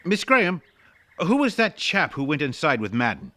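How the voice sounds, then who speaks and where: A man playing an eager, inquisitive gentleman in a detective radio drama, earnest and full of questions. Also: on the high side, wildly swooping pitch, normal speed, heavily acted. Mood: excited, puzzled. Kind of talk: old-time detective radio drama acting.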